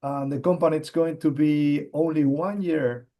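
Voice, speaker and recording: A man with an Argentine Spanish accent, a geologist answering interview questions in English, halting with frequent fillers and repeated words. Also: a little high, fairly even intonation, highs cut off. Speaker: mining company executive, geologist.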